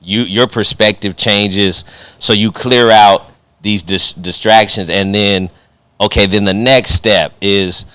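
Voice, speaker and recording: A man with a low, smooth voice hosts a casual podcast interview in a close, dry sound. He talks in a slangy, conversational way, rephrasing his questions as he goes. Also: steady tone, slow, sharp tone, no room sound. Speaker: podcast host. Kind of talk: casual interview with joking asides.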